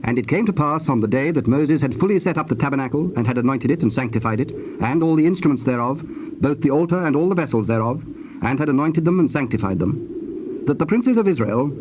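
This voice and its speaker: A man narrating scripture from a script in a measured, formal, solemn manner. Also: fairly high pitch, expressive. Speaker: narrator of dramatized Bible. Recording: dull, noisy old cassette tape.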